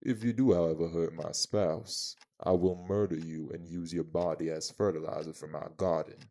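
An actor playing an imposing, protective vampire man in an audio roleplay, dry-witted, haughty and measured, with a gravelly voice. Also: deep voice, steady tone, dark tone. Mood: threatening.

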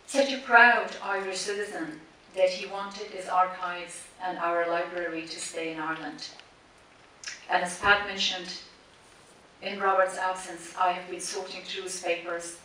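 A woman whose first language is Persian gives a measured, prepared memorial speech from a cinema stage, pausing deliberately between listed words. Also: lowish voice, sharp tone. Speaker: speaker introducing a tribute screening. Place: large cinema auditorium, standing on stage. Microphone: stand mic, recorded by a distant camera in the audience. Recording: echoey cinema from far off with faint hiss.

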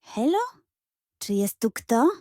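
A woman acts out a cowardly little koala from a bedtime storybook. The voice is very high and shaky, full of panicky squeaks and moans. He is clumsy and easily frightened, heard up close in a dry recording. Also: big pitch swings, slow pace, warm tone, no room sound. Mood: nervous, timid.

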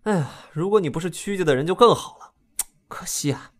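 Man acting a young prince, animated pitch, playful, teasing, breezy, audio drama. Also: a little fast. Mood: amused, probing.

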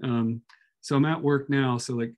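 A man introducing himself in an online class from his workplace, speaking softly with many fillers. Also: medium pitch, fairly even intonation, moderate pace. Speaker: doctoral student. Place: at work. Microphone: video call.

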